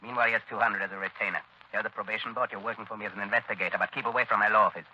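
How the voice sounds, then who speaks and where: An actor playing a coolly persuasive, threatening crooked lawyer in clipped 1940s radio drama style. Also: male voice, lowish voice, steady tone, racing, pushed and bright. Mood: businesslike. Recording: muffled, noisy vintage radio broadcast.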